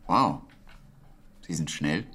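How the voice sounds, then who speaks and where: A deep-pitched wartime code instructor in a radio drama. He is earnest and soft-hearted with a wry edge, and he sets out his words deliberately, leaving pauses. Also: expressive, husky, heavily acted. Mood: calm, serious. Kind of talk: radio play acting.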